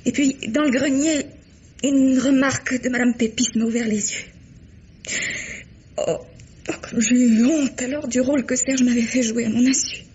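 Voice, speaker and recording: A woman's voice: sits high, steady tone, darkish tone, breathy edge. A remorseful young woman confessing. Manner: halting, emotional, many sighs. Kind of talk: 1950s radio drama acting. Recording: dull old radio recording.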